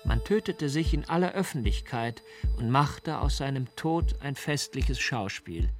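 A man playing a provocative libertine philosopher in a deliberate, declamatory radio reading. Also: mid-range voice, lively intonation, lightly acted. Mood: polemical, emphatic. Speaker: reader of a philosophical pamphlet. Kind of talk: dramatic radio reading of a polemical text. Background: music.

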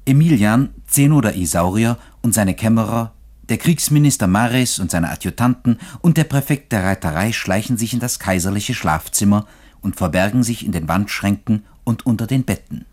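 Male narrator, fairly low pitch, scripted radio drama storytelling. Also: animated, moderate pace, a little mellow.

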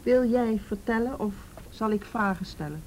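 A woman playing a reassuring youth officer in a radio play, measured, direct and patient. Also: darkish tone, lightly acted, rough recording. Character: calm, firm, reassuring policewoman of the youth department. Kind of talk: Dutch radio play acting. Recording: faint hiss and low rumble.

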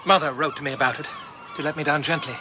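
A man's voice: fairly high pitch, quick pace, noisy background, natural voice. An actor playing a blunt, loyal suitor protective of a woman, in a radio drama. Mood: firm. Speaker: Royd. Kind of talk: BBC radio drama acting.

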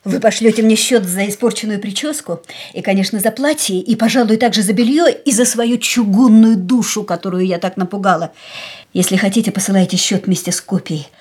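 An actress in a radio play, voicing a grieving young woman in a quiet, stunned inner monologue that often drops to a whisper. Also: medium pitch, brisk, husky, natural voice. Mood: proud scorn.

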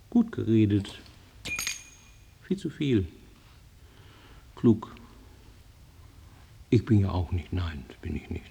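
A man's voice: fairly low pitch, gravelly, a little mellow, slight background noise, full character voice. An actor playing an agitated male psychiatric patient in a radio drama, defending himself in fragmented, insistent bursts. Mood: evasive, rambling. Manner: rambling, fragmented, insistent self-justification.

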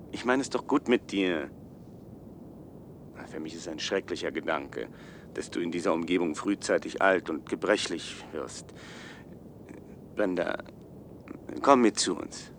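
A man's voice: sits low, a bit bright, a little hiss or hum, exaggerated voice. An actor playing an earnest, persuasive man from a sheltered society in a science-fiction radio play.

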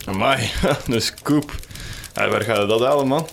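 An actor playing a chummy, opportunistic newspaper colleague in a comic radio drama, with a drawling Flemish accent. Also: male voice, mid-range voice, unhurried, creaky, a bit bright. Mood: chummy, sly. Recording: noisy office background, clicks and typing.

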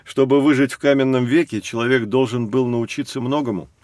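A man playing a knowledgeable, patient teacher who explains things to children in a measured, expressive storytelling way. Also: medium pitch, dry recording, studio quality, close to an everyday voice. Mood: explanatory. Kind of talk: scripted educational storytelling for children.

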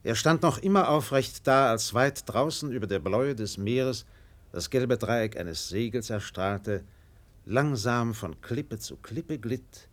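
A male narrator reads a literary radio play solemnly. His mid-pitched voice moves slowly through dramatic pauses, with lively, wide swings of intonation.

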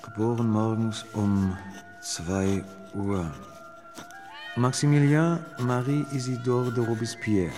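A woman playing a solemn cleric who recites blessings and register entries in a formal radio drama style. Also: a bass voice. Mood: formal, ceremonial. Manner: slow, ceremonial, formal recitation. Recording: music behind the voice.

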